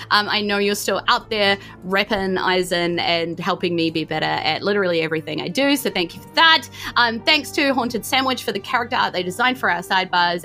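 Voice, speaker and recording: A woman's voice: mid-range voice, bright, forceful tone. A woman, narrating and hosting as game master in a chatty, theatrical way. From New Zealand. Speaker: game master and podcast host.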